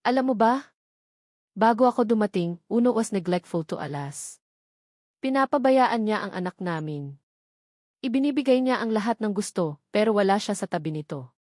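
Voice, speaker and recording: A woman's voice: medium pitch, expressive, moderate pace, pauses often, darkish tone, no room sound, clean studio sound, lightly acted. A woman with a Filipino accent voicing an anguished, stubborn young mother in a novel reading. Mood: anguished, worried. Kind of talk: dramatized novel dialogue.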